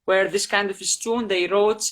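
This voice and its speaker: A tired man from Syria, a guest on a casual live-stream chat, who speaks haltingly and repeats his words. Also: high voice, fairly even intonation, fairly bright tone.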